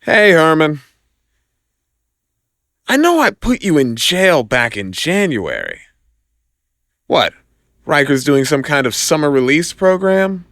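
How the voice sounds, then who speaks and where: Guy doing a young wisecracking superhero, sarcastic and cocky, voice jumps up high and all over, audio comic style. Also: huge pitch swings, takes it slowly, long pauses, a bit bright, no room sound, clean studio sound, exaggerated voice. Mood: teasing. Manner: sarcastic, teasing banter. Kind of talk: audio comic drama acting.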